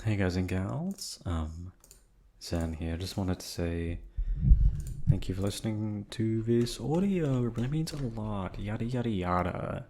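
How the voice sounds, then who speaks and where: A man's voice: lowish voice, expressive, measured pace, dark tone, a little hiss or hum. A man speaking casually as a channel host, in a rambling, offhand way. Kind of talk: casual outro thanking listeners.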